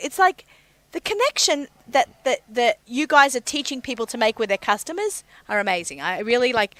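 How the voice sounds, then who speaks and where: A woman's voice: a little high, big pitch swings, fast talker, fairly bright tone, dry recording. A woman with an Australian accent, hosting a chatty interview and speaking in a gushing way with lots of fillers. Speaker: radio show host. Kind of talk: casual interview chat. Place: hotel.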